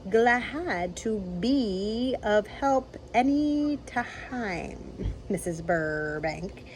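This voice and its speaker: A woman's voice: mid-range voice, expressive, extremely slow, slight background noise. A woman voicing a prim, condescending neighbor lady who over-enunciates every word with heavy emphasis. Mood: smug.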